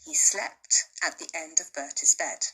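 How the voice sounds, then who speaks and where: A woman teacher reading a children's novel aloud to her class with expressive storytelling. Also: sits low, unhurried, slightly rough, in a whisper, poor audio.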